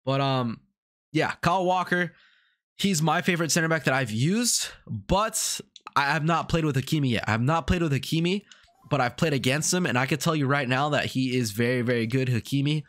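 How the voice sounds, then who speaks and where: A man's voice: a little high, fairly quick, fairly bright tone. A man with a congested, sick-sounding voice, rambling casually through video game commentary with lots of fillers and repetition. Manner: rambling, repeats himself, many fillers. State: congested from a cold. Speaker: gaming YouTuber.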